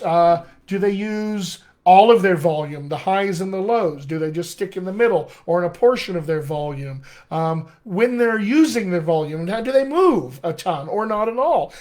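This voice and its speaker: A middle-aged American man, a dialect coach, teaching a live online class in an animated, friendly way, often calling his viewers "my friends.". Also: high voice. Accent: American English. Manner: animated, addresses viewers as "my friends", chuckles at own asides. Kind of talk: live-streamed teaching session.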